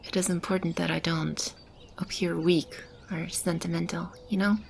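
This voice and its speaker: A woman playing a gentle, protective demon in an ASMR roleplay, speaking softly and soothingly with a breathy, whispery delivery. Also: lowish voice, little pitch movement, slight background noise. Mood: warm, confiding. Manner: soft, unhurried, soothing reassurance. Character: gentle protective female demon sheltering a lost child. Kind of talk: ASMR roleplay, soft-spoken with whispery passages. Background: ambient sound effects.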